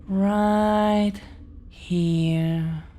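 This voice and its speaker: A woman playing an affectionate, earnest girlfriend of dragon descent in an intimate roleplay audio, speaking gently with lingering pauses. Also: medium pitch, steady tone. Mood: tender, reassuring. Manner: gentle, hesitant, lingering pauses between phrases.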